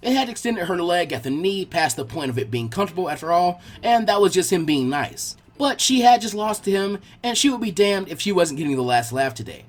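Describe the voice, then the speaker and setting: Husky, animated man narrating a story. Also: sits high, a little fast, few pauses. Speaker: fan fiction narrator.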